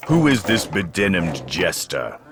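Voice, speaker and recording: An actor playing a haughty, grandiose ancient villain in a comedic fantasy audio drama, speaking formally. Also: male voice, deep voice, sing-song, measured pace, background noise, heavily acted. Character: imperious, ancient dark lord, disdainful villain. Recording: loud sound effects behind voice.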